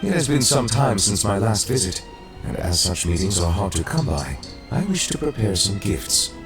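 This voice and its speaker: A man's voice: on the low side, very animated, moderate pace, over music. A man voicing a dignified, courteous official in a video game, speaking in a stately, formal manner.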